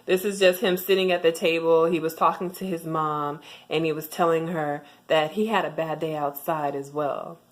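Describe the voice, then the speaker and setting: Low-voiced woman, chatty tutorial vlog. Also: steady tone, moderate pace, few pauses. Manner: chatty, with frequent ums and restarts. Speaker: vlogger sharing language tips. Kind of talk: casual tutorial talk to camera.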